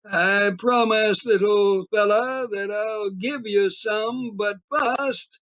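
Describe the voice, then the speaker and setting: A man playing a rambling, easily spooked beachgoer who tells his tale in dramatic, drawn-out audio play narration. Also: high voice, fairly even intonation, a bit bright. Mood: agreeable. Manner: theatrical, drawn-out emphasis.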